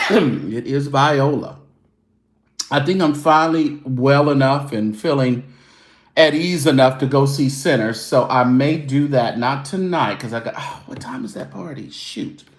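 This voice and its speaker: A man's voice: mid-range voice, a little slow, reverberant. A man hosting his own show, chatting loosely and casually with his viewers. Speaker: show host. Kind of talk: casual livestream chat.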